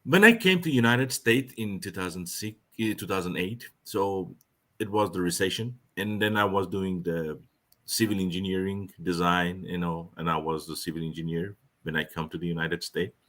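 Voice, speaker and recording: A man's voice: deep voice, normal speed, pushed and bright. A man speaking as an in a casual online chat, with loose, ungrammatical phrasing and fillers. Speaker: guest.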